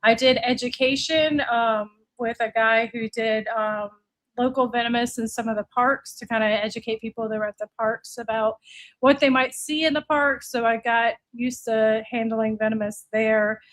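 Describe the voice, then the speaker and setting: Higher-voiced American woman in her forties. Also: fairly even intonation, moderate pace, poor audio. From United States (Virginia, earlier Florida). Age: late 40s. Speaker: podcast guest, reptile breeder. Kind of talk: casual interview chat.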